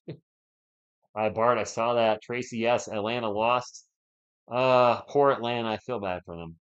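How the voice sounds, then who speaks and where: Hoarse man calling a game live. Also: medium pitch, steady tone, measured pace, long pauses. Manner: chatty, reads and answers viewer chat between plays. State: sore throat, losing his voice. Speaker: live play-by-play streamer. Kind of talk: casual live play-by-play with chat. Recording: dull lo-fi stream audio.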